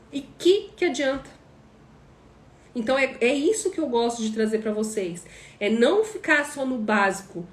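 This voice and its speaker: Emphatic Brazilian woman mentor in echoey room. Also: fairly high pitch. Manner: emphatic, repeats points, asks rhetorical questions. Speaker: online course mentor. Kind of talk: live stream teaching chat.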